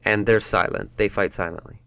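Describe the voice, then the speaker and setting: A man with a lowish voice co-hosting a relaxed group chat. He wanders through his points and often restarts mid-thought. The sound is close and dry. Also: brisk, vocal fry, clean tone, no echo. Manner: rambling, restarts often, thinks aloud. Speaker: podcast co-host. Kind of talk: casual roundtable chat.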